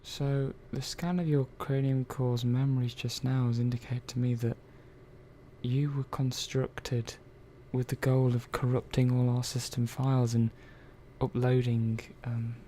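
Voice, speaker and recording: A man playing an earnest, hesitant robot repair technician in a soft-spoken ASMR roleplay, halting and trailing off mid-sentence. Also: medium pitch, flat delivery, a little hiss or hum, close to an everyday voice. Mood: grave, hushed.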